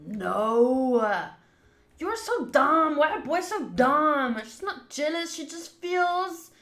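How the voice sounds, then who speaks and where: A woman streamer doing a game playthrough, reading the text aloud and commenting in an expressive, emphatic way. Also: sits high. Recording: roomy echo, game music behind. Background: soft game music.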